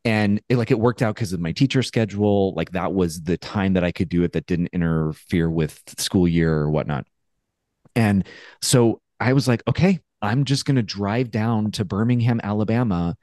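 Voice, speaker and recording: A man in his forties co-hosting a podcast over a video call, telling a story in a casual, animated way and acting out his own thoughts. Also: fairly low pitch, moderate pace, dry recording, studio quality. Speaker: podcast co-host. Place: home studio with brick wall. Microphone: headphones and studio mic on a video call.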